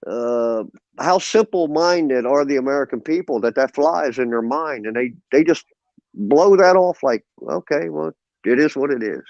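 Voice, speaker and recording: A man's voice: mid-range voice, animated, normal speed, vocal fry, a little mellow, no room sound, highs cut off. A man in his fifties, calling into a livestream and ranting about politics in a preachy, emphatic way. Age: 50s. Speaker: caller on a livestream. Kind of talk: casual political rant.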